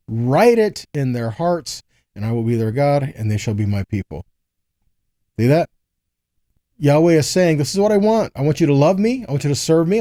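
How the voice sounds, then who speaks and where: A man's voice: on the high side, dark tone, no room sound, studio quality. A man giving a scripture teaching, reading verses and explaining them in a didactic way, with short check-in questions. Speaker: Bible teacher.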